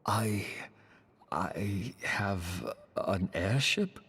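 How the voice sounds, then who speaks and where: An actor playing a dazed, unsure man in a video game, speaking haltingly in a breathy, husky voice. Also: fairly low pitch, expressive, a bit bright, heavily acted. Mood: bewildered, dazed. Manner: halting, faltering.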